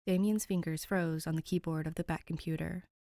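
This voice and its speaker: A woman narrating fiction as an audiobook, measured and dramatic, with pauses between phrases. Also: deep voice, fairly even intonation, dark tone, no room sound, studio quality. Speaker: podfic narrator.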